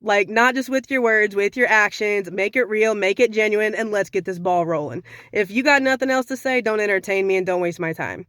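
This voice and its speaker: A young woman with a slightly raspy voice from sinus trouble, giving frank dating advice in a casual talk to camera. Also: mid-range voice, fairly quick, hardly any pauses, fairly bright tone, dry recording, studio quality. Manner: frank, conversational, sassy.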